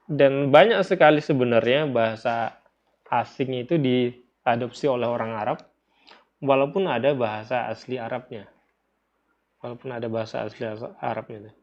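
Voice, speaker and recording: Indonesian guy teaching a religion class, mid pitched voice, explains and repeats stuff, kinda muffled lofi audio. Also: normal speed, pauses often. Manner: explanatory, repeats phrases and poses questions to listeners. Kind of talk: informal lecture explaining a text. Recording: dull, lo-fi audio.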